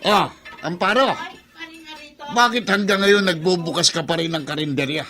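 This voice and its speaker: A greedy, menacing Filipino bully in a radio drama. His voice is high for a man and piercingly sharp, with a sneering, domineering delivery. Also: steady tone, normal speed, soft background music. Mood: scornful. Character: greedy, menacing middle-aged villainous man, bullying and threatening. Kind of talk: Tagalog radio drama acting.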